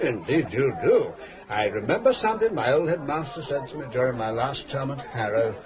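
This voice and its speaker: Man playing a tipsy English gentleman, swooping pitch. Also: animated, fairly quick, dull audio, crowd noise, natural voice. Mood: tipsy, jovial. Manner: effusive, florid, repeating himself. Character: tipsy, grandiose, retired gentleman from London, genial and roguish. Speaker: actor in a radio drama. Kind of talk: British radio drama acting. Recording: radio play in noisy pub.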